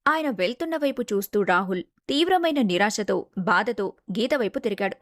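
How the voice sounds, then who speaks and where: A woman's voice: mid-range voice, lively intonation, brisk, no room sound, studio quality. A woman narrator reading a scripted family story in a dramatic storytelling style.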